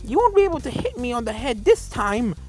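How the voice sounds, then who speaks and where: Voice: medium pitch, big pitch swings, a little fast, darkish tone, pitched above the performer's own voice, natural voice. A narrator voicing a bouncy, exclamatory female cartoon pony in a story reading, with music behind. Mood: defiant.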